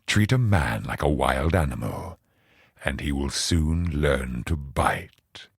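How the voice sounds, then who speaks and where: An actor playing a grandiose, brooding godlike cosmic villain in a video game, speaking in solemn, pompous, poetic monologue with a gravelly, husky voice. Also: male voice, rumbling low, no echo, clean studio sound, full character voice. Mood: somber, contemptuous.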